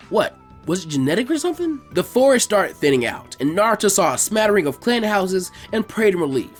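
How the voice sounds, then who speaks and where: Lively male story narrator over music. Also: high-pitched. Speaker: fan fiction reader. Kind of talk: expressive amateur story narration.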